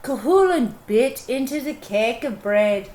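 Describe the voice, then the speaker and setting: A woman's voice: fairly high pitch, animated, measured pace, darkish tone. A woman storyteller and teacher reading a children's storybook aloud, expressively, with simple explanatory asides. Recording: echoey room.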